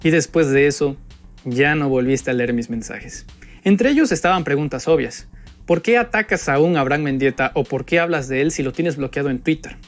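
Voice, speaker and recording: A young man with a Mexican accent giving a pointed, sarcastic political monologue full of rhetorical jabs at an opponent. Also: a little high. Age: young adult. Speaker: political commentator. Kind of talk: spoken opinion monologue addressed to an opponent. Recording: background music under the voice.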